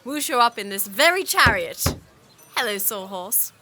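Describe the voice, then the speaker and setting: An actress playing a stubborn, breezy young princess ruler in an audio drama. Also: female voice, a little high, lively intonation, unhurried, bright, forceful tone, slight background noise. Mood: breezy, determined.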